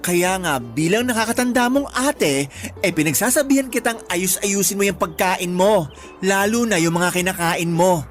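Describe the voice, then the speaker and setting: An actress playing a blunt, protective big-sister friend in a Tagalog radio drama, teasing in slangy talk with a Filipino accent. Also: female voice, mid-range voice, animated, normal speed, close to an everyday voice. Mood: bossy, sassy. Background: background music.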